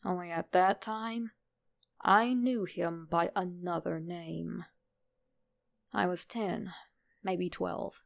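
A woman narrating a horror story in a measured, deliberate, dramatic way. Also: fairly low pitch, fairly even intonation, gravelly.

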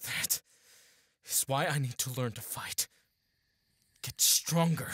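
A man's voice: medium pitch, slow, bright, forceful tone, husky, no echo, exaggerated voice. An actor playing a grieving, vengeful young man in an audio drama, speaking with emotional intensity. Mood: grave, resolute.

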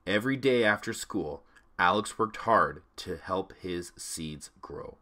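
A man narrating a children's storybook read-along with clear, expressive delivery. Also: deep voice, slow pace.

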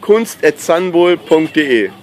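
A man's voice: fairly high pitch, expressive, takes it slowly. A middle-aged man vlogging outdoors at a sunny marina, chatting casually and enthusiastically to the camera. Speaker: pop artist vlogging. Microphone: handheld camera mic. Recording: faint outdoor noise.

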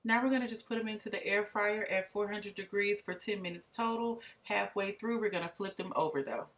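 A woman's voice: medium pitch, a little fast. A middle-aged woman hosting her own cooking vlog, talking in a chatty, wry and candid way as she narrates the steps. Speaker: vlog host. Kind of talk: casual cooking vlog voice-over.

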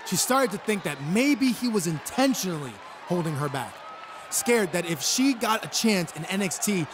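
An actor playing a cocky, taunting wrestling champion making a boastful, deliberate speech in a video game. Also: male voice, high voice. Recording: loud arena crowd noise.

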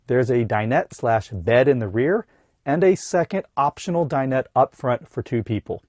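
A middle-aged man with a hoarse voice from a cold, reading a scripted camper van review inside a van. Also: medium pitch, normal speed, dry recording, lo-fi sound. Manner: steady, informative, teleprompter reading.

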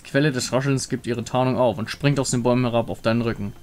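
A man's voice: mid-range voice, steady tone, quick pace, a little mellow. A man giving scripted narration of a game story.

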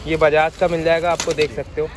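A man's voice: on the high side, level tone, a little fast. A young man filming a casual shop-tour vlog on his phone, asking a seller for prices and repeating each answer back to the camera. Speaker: vlogger touring an electronics parts shop. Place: busy street-side electronics shop. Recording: outdoor phone recording with crowd noise. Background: street crowd and traffic.

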